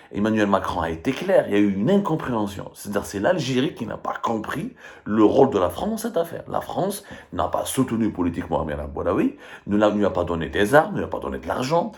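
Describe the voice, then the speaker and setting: A man with an Algerian accent giving live political commentary in an emphatic, argumentative and repetitive way. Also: sits low. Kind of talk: live political commentary, reading and dissecting statements.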